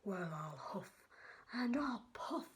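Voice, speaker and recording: A woman voicing a menacing male wolf villain from a children's storybook, with huffing, drawn-out threats. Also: mid-range voice, sing-song, pitched below the performer's own voice. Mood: loud menace.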